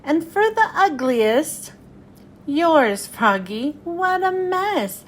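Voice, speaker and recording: Figure: woman reading as a kindly storybook teacher. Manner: gentle and measured. Pace: unhurried. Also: high voice, big pitch swings, a little hiss or hum, heavily acted. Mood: patient. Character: patient kindly female schoolteacher.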